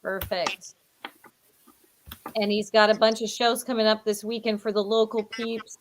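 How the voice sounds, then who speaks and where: A woman's voice: mid-range voice, flat delivery, unhurried, a little hiss or hum, low-quality recording. A woman from North Dakota co-hosting a casual live-stream chat, upbeat and chatty. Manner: chatty, upbeat, laughs often. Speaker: co-host.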